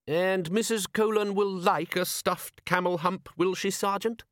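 A man playing a measured, sardonic aristocratic ruler. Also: fairly high pitch, sing-song, no room sound, clean studio sound, voice pushed up. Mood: dry irony. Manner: measured, deliberate, drily sardonic. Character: urbane, dryly ironic male aristocratic ruler.

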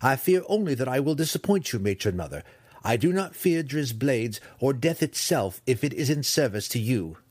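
A man voicing an obsequious, falsely humble dark elf soldier son in a fantasy audiobook, formal and deferential. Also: mid-range voice, sing-song, normal speed, breathy edge, no room sound, voice pushed up. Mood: dutiful, humble.

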